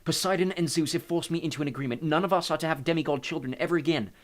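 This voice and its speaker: Man acting anxious, pleading dark god, pitched up. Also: racing, natural voice. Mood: agitated pleading. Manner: urgent, pleading. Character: anxious male god of the underworld, pleading, protective, brooding.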